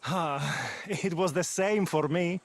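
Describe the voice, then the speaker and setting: A man's voice: on the high side, takes it slowly. A man playing a friendly, grateful village merchant in a video game cutscene, genial and familiar.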